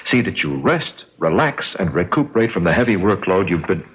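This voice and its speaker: Radio drama fiancé: reassuring, sensible, playful, fairly low voice, dull old recording. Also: male voice, lively intonation, fairly quick, breathy edge. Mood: patient reasoning. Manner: soothing, playful, patronising.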